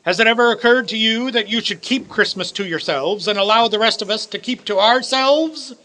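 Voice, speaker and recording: A man's voice: sits high, brisk, pushed and bright. An actor playing a cold, miserly old businessman in a radio play, speaking in a formal, sarcastic, condescending way. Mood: irritated, scornful. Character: cold, miserly old male business owner, scornful of Christmas. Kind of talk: theatrical radio play acting.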